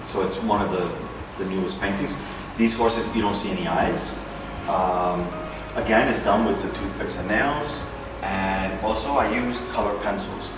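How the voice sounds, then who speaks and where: A man in his fifties from New York, a painter talking through his paintings at a gallery, halting, with many pauses and fillers. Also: lowish voice. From New York, USA. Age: 50s. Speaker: painter presenting his work. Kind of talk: gallery walk-through talk. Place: indoor art gallery. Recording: echoey gallery from a distance, music behind. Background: music.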